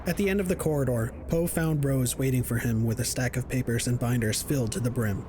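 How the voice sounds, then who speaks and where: A man's voice: medium pitch, a little fast, background noise. A man reading scripted narration for an audio drama.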